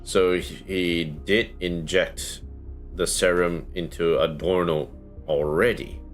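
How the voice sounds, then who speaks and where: Man, game livestreamer, extremely low pitch, unhurried pace, hesitant murmured reactions, casual commentary. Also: steady tone. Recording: game music behind.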